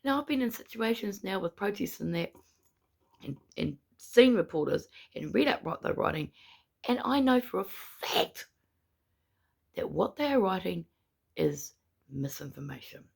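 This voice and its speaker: Brief: gravelly, husky-voiced speaker with a New Zealand accent, gummy without teeth, indignant protester monologue. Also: mid-range voice, big pitch swings, moderate pace, long pauses. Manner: indignant, repetitive, emphatic. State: gummy speech, no dentures in. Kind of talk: angry ranting monologue.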